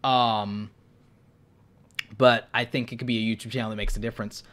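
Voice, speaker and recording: A man's voice: lowish voice, flat delivery, normal speed, a bit bright. A man hosting a live stream, sick and losing his voice, giving frank advice while thinking aloud with lots of ums. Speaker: YouTube creator hosting live Q&A and channel reviews.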